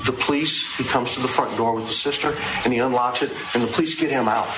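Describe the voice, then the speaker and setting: A man giving an unscripted courtroom statement as a lawyer, conversational and halting, repeating phrases and posing rhetorical questions. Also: mid-range voice. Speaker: trial lawyer addressing a jury. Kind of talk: extemporaneous courtroom opening statement. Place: indoors, large echoey courtroom. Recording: echoey, noisy courtroom audio.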